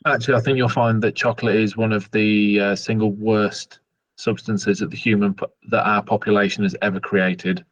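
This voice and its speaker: A man playing a tabletop game, arguing his point earnestly and haltingly in improvised banter. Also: fairly low pitch, flat delivery, muffled recording.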